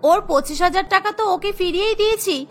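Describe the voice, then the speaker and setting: Bright, high-pitched sly young wife played by a woman. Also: fairly quick, soft background music. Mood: boastful. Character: sly, boastful young married woman running a scheme. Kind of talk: Bengali cartoon voice acting.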